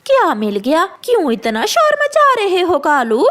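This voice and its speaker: A woman's voice: very high-pitched, wildly swooping pitch, measured pace, exaggerated voice. A woman voicing a sly, greedy female crow in a children's cartoon, with exaggerated delivery. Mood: puzzled. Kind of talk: Hindi children's moral-story cartoon.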